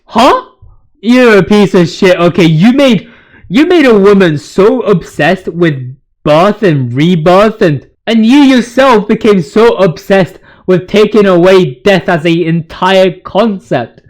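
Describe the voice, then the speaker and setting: A man's voice: high-pitched, animated, slow. A man giving casual, opinionated gaming commentary as a video host, ranting in slang with emphatic repetition.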